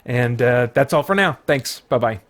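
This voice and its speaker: A man's voice: medium pitch, animated, moderate pace. A man who hosts a gaming channel, talking casually and enthusiastically in a playful, rambling, conversational way. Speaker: video game streamer and channel host. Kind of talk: casual enthusiastic vlog talk about games.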